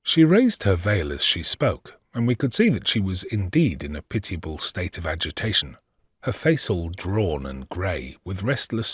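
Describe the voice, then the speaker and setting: Deep, husky narrating. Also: male voice, no room sound, studio quality, heavily acted. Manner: measured, literary storytelling. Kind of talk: scripted audiobook narration.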